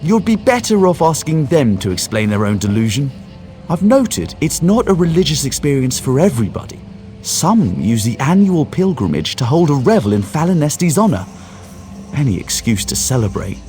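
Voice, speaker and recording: A male actor playing a skeptical, scholarly guide in a fantasy game, explaining in a measured, wry way. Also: on the high side, big pitch swings, mellow, faint music behind. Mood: matter-of-fact, wry. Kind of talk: video game quest dialogue.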